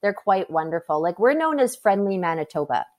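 A Canadian woman in her twenties, chatting as a guest in an interview, upbeat and quick to laugh. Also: mid-range voice, big pitch swings, darkish tone. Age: 20s. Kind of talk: casual interview chat.

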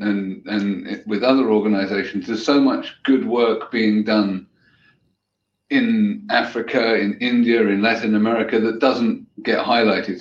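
A male host with a lowish voice introduces his guest and asks questions in a measured way, often restarting his phrases. The sound is roomy and echoey and a bit dull. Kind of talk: interview host.

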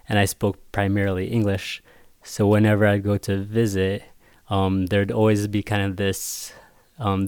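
A man whose first language was Vietnamese, a picture book author talking casually in an interview, thoughtful and halting with many restarts. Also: fairly low pitch, clear, resonant tone.

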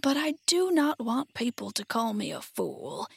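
A woman reader puts on a storybook scarecrow, a simple, earnest straw man. The voice is husky and creaky, the words drawn out slowly and politely. It is recorded very dry and close. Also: a little high, sing-song, no echo, exaggerated voice. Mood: earnest, confiding. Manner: slow, drawn-out, polite delivery.

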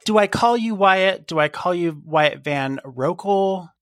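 A podcast host with a raspy voice, telling a heartfelt story and giving advice in a casual, rambling way. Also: medium pitch, lively intonation, unhurried, no echo, clean studio sound. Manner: earnest, heartfelt, rambling with fillers. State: raspy voice today.

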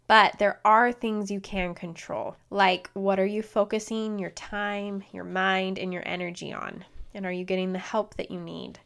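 Hoarse woman hosting a podcast. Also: mid-range voice, a bit bright. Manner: earnest, encouraging. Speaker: podcast host. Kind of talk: solo podcast talk.

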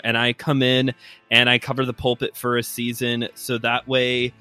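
Bright-voiced Chicago man telling a story. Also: medium pitch. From Chicago area, US Midwest. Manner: friendly storytelling with fillers. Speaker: guest host, preacher. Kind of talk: casual podcast chat.

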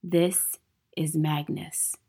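A woman voicing a menacing, accusing ghostly man who speaks slowly and deliberately, in a storybook reading. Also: low-pitched, little pitch movement, warm tone, husky, exaggerated voice. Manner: slow, deliberate, threatening.

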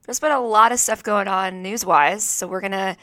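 A woman's voice: medium pitch, moderate pace, pushed and bright, no echo. A woman co-hosting a casual podcast, bubbly and rambling with lots of "like.". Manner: bubbly, rambling, many "like"s and restarts. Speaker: podcast co-host. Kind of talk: casual chat.

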